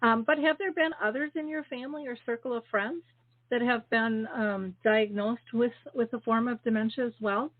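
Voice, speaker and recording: A woman hosting an interview show, chatty and filling pauses with "um" and "you know". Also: a little high, steady tone. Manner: chatty, full of fillers and warm thanks. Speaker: podcast host. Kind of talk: casual interview chat. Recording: phone-like video call audio.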